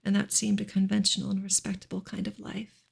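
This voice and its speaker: A woman gives a soft ASMR reading of a book, breathy and often close to a whisper, in a gentle, measured way. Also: mid-range voice, completely flat delivery. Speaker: streamer reading a novel aloud.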